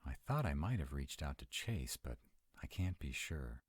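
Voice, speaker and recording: A man playing a sarcastic, protective vampire in a roleplay audio drama, wary and quick-witted, talking to unseen companions. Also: very deep voice, very animated, noisy background. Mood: tense, exasperated.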